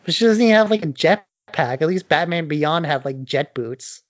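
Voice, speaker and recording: A man's voice: a little high, lively intonation, moderate pace, no room sound, dull audio. A man co-hosting a podcast in casual, opinionated chat, blunt and prone to swearing. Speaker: podcast co-host.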